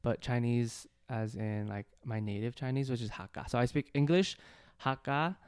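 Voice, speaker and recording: A man co-hosting a casual podcast chat, answering in an easygoing, measured way and laughing often. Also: medium pitch, darkish tone, clean tone, no room sound, studio quality. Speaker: podcast co-host.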